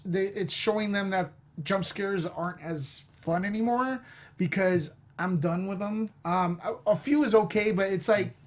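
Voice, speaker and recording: A man on a panel of film critics, talking in an emphatic, opinionated way in a lively discussion. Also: high voice.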